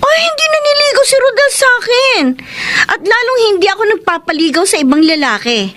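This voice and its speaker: A woman's voice: extremely high pitch, expressive, a little fast, background noise. A woman playing a stubborn young woman in love in a Filipino radio drama, emotional and protesting, with a Filipino accent. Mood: indignant, pleading.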